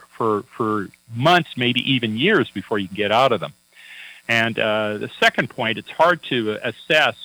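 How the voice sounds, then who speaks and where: Man, financial advisor, folksy storytelling, crisp bright tone, faint radio hum. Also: medium pitch, dry recording, rough recording. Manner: folksy, anecdotal, many fillers and restarts. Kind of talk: radio talk show chat.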